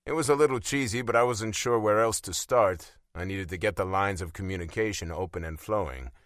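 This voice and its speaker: A man's voice: fairly low pitch, fairly quick, fairly bright tone, dry recording, studio quality. A man narrating a first-person romance audiobook in a wry, self-deprecating, conversational way.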